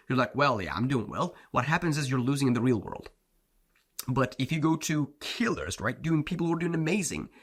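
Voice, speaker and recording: A man whose first language is Turkish, a self-improvement coach giving a blunt, slangy motivational talk, often saying "bro" and "like". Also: medium pitch. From Turkey.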